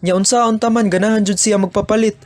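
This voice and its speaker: A man's voice: high voice, fairly even intonation, fast, a little mellow, soft background music, close to an everyday voice. A man with a Cebuano accent playing a lovesick, broke young boyfriend in a student radio drama. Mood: earnest.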